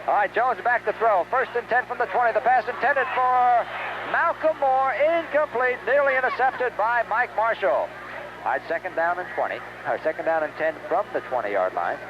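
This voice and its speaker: High-pitched American football announcer, male, noisy recording. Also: normal speed. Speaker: play-by-play sports announcer. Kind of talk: live American football radio commentary. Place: outdoors at a stadium. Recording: dull, noisy 1970s radio recording.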